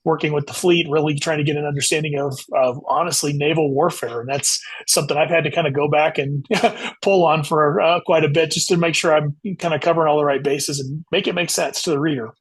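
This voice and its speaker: A man's voice: a little high, steady tone, fairly quick, hardly any pauses, slightly rough. A man being interviewed as an author, explaining things at length in a casual, conversational way. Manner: explanatory, conversational, says "you know" often.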